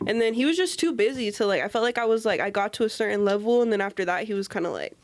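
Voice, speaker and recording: A young woman talking as a guest tattoo artist in a relaxed podcast chat. Her speech is loose and slangy, full of "like" and casual swearing, at an ordinary middle pitch for a woman. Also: racing. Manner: loose and slangy, heavy filler "like", swears freely.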